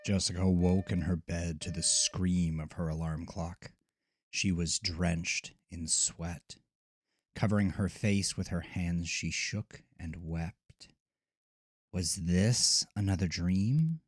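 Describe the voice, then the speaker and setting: A man narrating a scripted horror audio drama in a deliberate, dramatic storytelling manner. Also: low-pitched, no room sound. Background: music.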